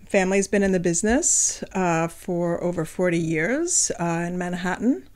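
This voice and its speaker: A woman's voice: on the low side, lively intonation, normal speed, darkish tone. A middle-aged woman from France, a restaurant owner answering interview questions in a casual, hesitant way with many ums.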